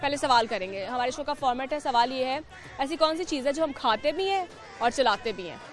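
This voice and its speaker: High-voiced woman hosting a street quiz. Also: a little fast. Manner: lively, laughs often, coaxing. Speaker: TV street-interview host. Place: outdoors in a city zoo park. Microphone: handheld mic. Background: light music and crowd chatter.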